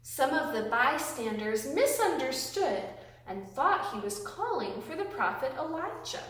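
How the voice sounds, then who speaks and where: A woman reading aloud and teaching young children, with simple, deliberate, emphatic phrasing, recorded in a classroom. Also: on the high side, a bit bright. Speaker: children's Bible storytime host, reading aloud. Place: indoors, a classroom. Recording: very echoey room, distant microphone.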